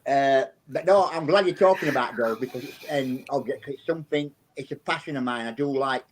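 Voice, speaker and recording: A man's voice: mid-range voice, fairly quick, a little hiss or hum. A man from England with a South Yorkshire accent, a psychic guest telling rambling anecdotes in a casual interview, often restarting his sentences.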